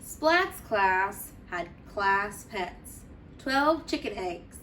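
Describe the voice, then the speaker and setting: A woman teacher reading a children's storybook aloud with deliberate, expressive phrasing for young listeners. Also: medium pitch, far from the mic, a little hiss or hum, poor audio.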